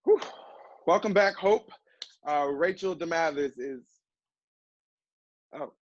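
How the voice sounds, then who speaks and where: A man's voice: a little high, expressive, takes it slowly, dry recording. Man acting polite wry office assistant, stage drama, dry close sound, lo-fi. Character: courteous, slightly catty office assistant. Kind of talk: naturalistic one-act stage play. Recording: dull, muffled dry sound.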